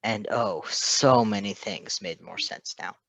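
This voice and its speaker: A man reading a story aloud in an expressive scripted narration style. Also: lowish voice, unhurried, dry recording. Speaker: podfic reader.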